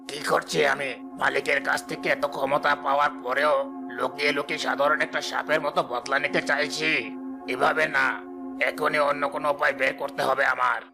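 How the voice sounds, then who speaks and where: Guy doing a vengeful cartoon snake, creaky voice, pretty flat delivery, music under it. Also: on the high side, fairly quick. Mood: scheming. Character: vengeful wounded male snake, scheming and servile to its master. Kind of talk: dubbed cartoon dialogue. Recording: loud music bed under voice.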